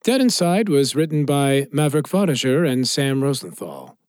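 A man's voice: medium pitch, big pitch swings, moderate pace, a little mellow, no echo, clean studio sound. A man announcing scripted audio drama credits in a theatrical style.

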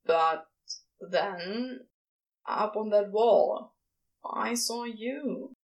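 A woman voicing a gruff, lovestruck male celestial being, confessing in halting, casual, clipped speech for audio fiction. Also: mid-range voice, dull audio. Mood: sincere, vulnerable.

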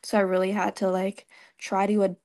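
Young woman from Vietnam, gravelly fry. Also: mid-range voice, fairly quick. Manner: relaxed storytelling with many ums and likes. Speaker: model, podcast guest. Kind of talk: casual interview chat.

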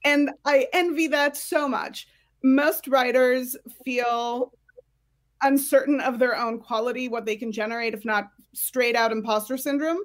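A woman with a somewhat high-pitched voice speaks as a career coach. She gives advice in a conversational way, as a guest in a panel discussion. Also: steady tone, unhurried.

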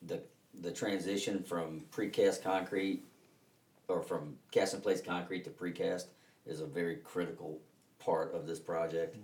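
A man being interviewed as a construction team leader, explaining technical details conversationally, haltingly, with emphasis on key points. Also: low-pitched, level tone, darkish tone. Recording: echoey room, distant mic.

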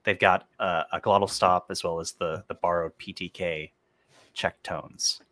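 Deep, forceful man in a dry room. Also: steady tone, a little slow, no echo. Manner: enthusiastic, explanatory, hedges his opinions. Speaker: podcast host. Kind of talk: casual conversation.

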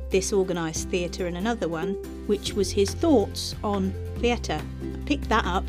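A woman hosting a readings podcast, chatting in a wry, understated way as she introduces pieces. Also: mid-range voice.

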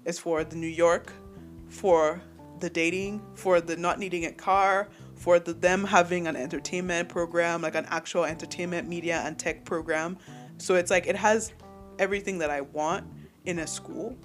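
Candid rambling young man, slightly high. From Nigeria. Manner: rambling and candid, restarting sentences, laughing often. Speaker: podcast host. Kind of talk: solo podcast monologue.

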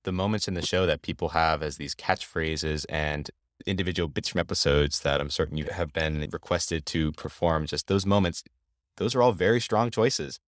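A man's voice: extremely low pitch, normal speed, no room sound, clean studio sound. A male host interviewing a guest, speaking in an earnest, emphatic way. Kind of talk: interview conversation.

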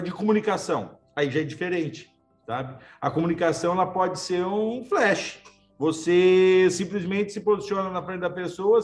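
A man speaks in a high voice, thinking aloud in short, restarting phrases. He is a lawyer in a relaxed conversation, and soft music plays faintly behind him. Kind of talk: casual conversation.

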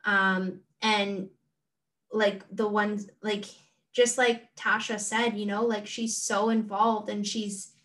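A woman co-hosting a podcast, talking gently and haltingly with many fillers. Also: medium pitch, level tone. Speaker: podcast co-host. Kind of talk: casual conversation. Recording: distant from the mic.

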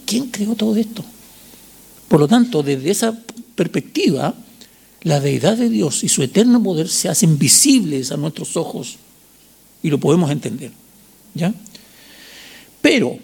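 A man in his sixties with a Chilean accent preaching a sermon, teaching didactically with emphatic repetition and short check questions, in a gravelly, husky voice. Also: sits high, a little mellow. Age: 60s. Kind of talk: sermon with Bible reading and commentary.

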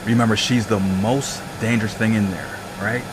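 A man's voice: on the low side, fairly even intonation, normal speed, natural voice. A man acting a brash visiting inspector in a sci-fi horror podcast, talking over vehicle noise. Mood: smug, warning. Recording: loud vehicle engine noise.

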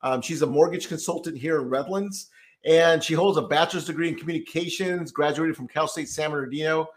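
A man with a slightly higher-pitched voice hosts a relaxed podcast chat. He rambles and restarts his sentences, scattering ums through his talk. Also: fairly quick. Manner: filler-heavy, rambling, frequent restarts. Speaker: podcast host, tax debt consultant. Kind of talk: casual podcast interview.

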